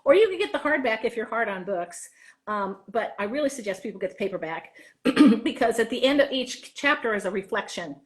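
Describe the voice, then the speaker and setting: Female interview guest, author-teacher type, higher voice, conversational and chatty. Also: expressive, fairly quick. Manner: chatty, acts out quoted dialogue. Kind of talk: animated casual interview talk.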